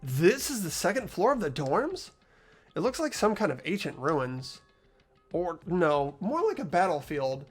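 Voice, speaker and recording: Someone playing an earnest teenage boy detective, lively intonation. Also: mid-range voice, a little slow, husky, soft background music. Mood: curious, thinking aloud. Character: mild-mannered, hesitant teenage boy detective in a game. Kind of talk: amateur voice acting and inner monologue of a game script.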